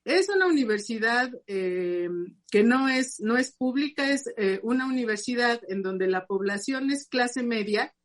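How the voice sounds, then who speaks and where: A woman, a psychoanalyst and university teacher, speaking earnestly and with determination on a radio discussion, often pausing with fillers. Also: a little high, animated.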